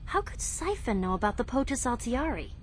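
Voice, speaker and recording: A woman's voice: a little high, big pitch swings, fairly quick, slight background noise. A woman playing a sensible, wry teenage girl in a cartoon video game, with a teasing but reasonable manner. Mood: doubtful.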